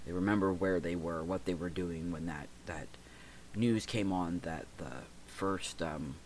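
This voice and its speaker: A man in his forties from the United States, hosting a reflective personal talk, stuffy and congested, speaking haltingly with many fillers and restarts. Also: deep voice, steady tone, darkish tone, slight background noise. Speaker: podcast host.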